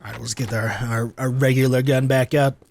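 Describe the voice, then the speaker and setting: A man's voice: mid-range voice, steady tone, brisk, vocal fry, a little mellow, a little hiss or hum. A man giving casual, playful let's play commentary as host of a gaming video. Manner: playful, joking.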